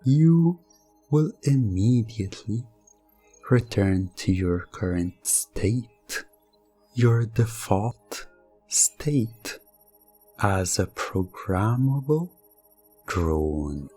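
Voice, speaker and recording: A man narrating scripted hypnosis ASMR in the second person, drawing out his phrases in a halting, suggestive way. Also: lowish voice, very animated, mellow, husky. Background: music.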